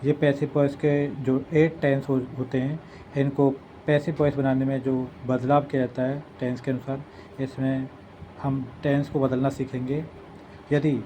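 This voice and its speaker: A man's voice: medium pitch, warm tone, low-quality recording. A man with an Indian accent teaching step by step in a methodical, explanatory way, like a classroom grammar teacher. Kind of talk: step-by-step classroom-style lesson. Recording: faint background noise.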